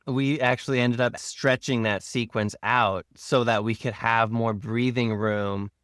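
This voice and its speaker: Male, mid-range and smooth, dry close sound, casual explanatory guest on a behind-the-scenes podcast. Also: medium pitch, steady tone, unhurried, a bit bright, no echo.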